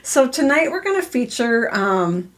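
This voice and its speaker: A woman's voice: high voice, sing-song, a little slow. A middle-aged woman with a cold, congested and a little weak-voiced, chatting casually as she hosts a live stamping craft lesson from her craft room. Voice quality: voice not strong, cough drop in mouth. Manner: chatty and friendly, many fillers, laughs at herself. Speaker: craft demonstrator hosting a live stream.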